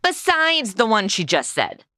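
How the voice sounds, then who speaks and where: A woman's voice: on the high side, huge pitch swings, a little slow, pushed and bright, no echo, clean studio sound, heavily acted. A woman playing a wisecracking modern young woman. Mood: snarky. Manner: wisecracking and snarky. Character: sarcastic modern young woman lost in old radio. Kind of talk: comedy radio drama acting.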